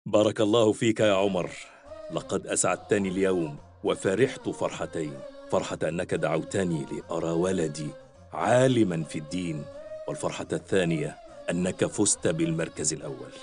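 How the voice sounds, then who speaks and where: Man playing a deep-voiced kindly cartoon father. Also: a little fast, faint music behind, natural voice. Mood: proud, kindly. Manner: warm, formal, reassuring. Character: proud, kindly, pious father of a schoolboy. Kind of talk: cartoon dialogue in formal Arabic.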